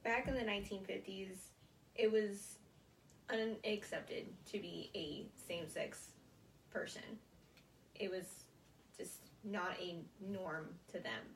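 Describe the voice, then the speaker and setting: Young American woman, gravelly fry, distant mic. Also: medium pitch, fairly even intonation, slow. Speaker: college student giving a speech. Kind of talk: prepared informative classroom speech. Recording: roomy, distant mic, faint noise.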